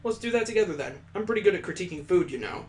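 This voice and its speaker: A man's voice: sits high, expressive, very fast, a little hiss or hum. A man voicing a cheeky, confident young girl in a visual novel, with a bouncy, teasing, sing-song delivery. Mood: cheerful, confident. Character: cheeky, confident young girl who teases her friends.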